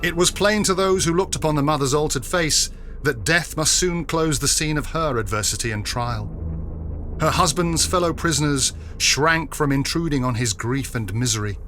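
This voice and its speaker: A man narrating a period story in a solemn, measured, theatrical style. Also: on the high side, over music.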